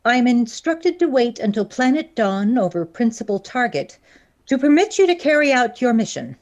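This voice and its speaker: A woman's voice: on the high side, big pitch swings. A woman playing a cold, logical female alien first officer in a science fiction audio drama, speaking formally and precisely. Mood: grave, official. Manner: formal, measured, precisely worded.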